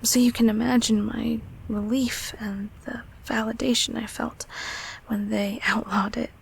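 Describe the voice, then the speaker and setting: A woman voicing a gentle, protective childhood closet monster in an intimate comfort roleplay, in a soft, breathy, gravelly whisper with a halting, reassuring delivery. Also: a little high, little pitch movement, full character voice. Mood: reflective.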